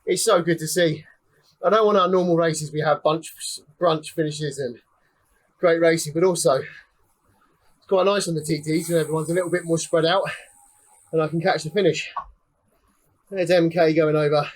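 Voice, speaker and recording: A man's voice: fairly high pitch, steady tone, normal speed, pauses often. A young man giving casual live commentary on a cycling race while riding, chatty and slightly out of breath. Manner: chatty, calling out finishers one by one. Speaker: ride leader and race commentator.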